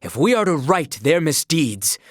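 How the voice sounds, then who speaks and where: An earnest young knight, speaks with formal, archaic courtesy. His delivery is animated and moves freely in pitch, with a loyal, dignified temper. The recording is dry and close, like a game voice line. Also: moderate pace, dry recording. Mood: urgent, resolute. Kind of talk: video game voice acting.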